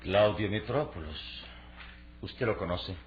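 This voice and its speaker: An actor playing a resolute, commanding adventure hero in a radio serial, with formal, emphatic delivery. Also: male voice, on the low side, slow pace, a little hiss or hum. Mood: purposeful. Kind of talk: Latin American radio serial acting. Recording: muffled, narrow old radio drama recording.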